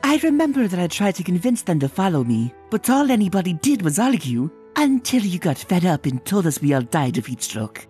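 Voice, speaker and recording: A woman's voice: on the low side, very animated, a little fast, few pauses, warm tone. A woman voicing a frank, tender-hearted female zebra in a cartoon comic dub, casual in manner. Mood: coaxing. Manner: casual, coaxing. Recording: music behind the voice.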